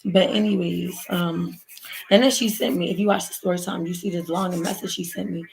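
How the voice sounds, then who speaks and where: A woman's voice: fairly low pitch, fairly even intonation, a little fast, creaky, darkish tone, rough recording. A woman from Baltimore who has lost her voice, chatting casually through a story time for her viewers while doing her nails, recorded on a laptop mic at home. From Baltimore, USA. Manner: chatty, rambling, addresses viewers as y'all. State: hoarse, lost voice. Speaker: vlogger. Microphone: laptop built-in mic.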